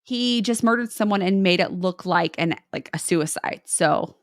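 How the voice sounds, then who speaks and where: A woman's voice: lowish voice, moderate pace, no room sound, clean studio sound. A young woman co-hosting a podcast, chatting casually with lots of fillers, recorded remotely on her own mic. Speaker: podcast co-host. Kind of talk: casual chat.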